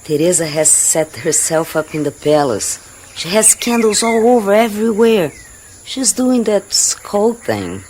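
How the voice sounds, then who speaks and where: An actress playing an earnest, caring companion in a radio drama, speaking directly. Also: female voice, medium pitch, big pitch swings, a little slow, a little mellow, slight background noise. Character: caring, practical female companion. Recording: jungle ambience with insects and birds.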